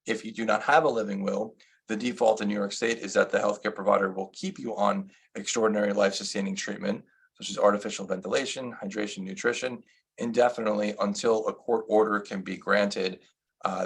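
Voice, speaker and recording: A man's voice: lowish voice, little pitch movement, a little fast, gravelly, slightly rough. A man presenting a legal webinar as an attorney, explaining the subject plainly and putting guiding questions to a colleague.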